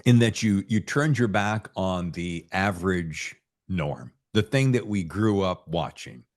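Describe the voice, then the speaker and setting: A low-pitched male podcast host talks in a loose, folksy style. He is effusive and tends to ramble as he works toward his questions in a relaxed interview chat. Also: measured pace.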